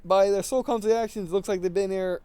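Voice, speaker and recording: A man with a high, clean and smooth voice reads a visual novel aloud for a gaming video. He slips between narration and casual commentary, often tripping over words and going back over phrases. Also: steady tone, quick pace, warm tone, no echo.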